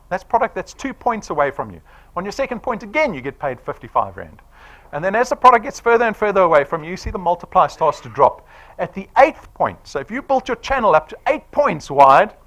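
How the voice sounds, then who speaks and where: A man in his forties giving a persuasive sales presentation to a live audience, often checking in with "right?". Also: on the high side. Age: 40s. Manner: animated, persuasive, quizzing the room with "right?".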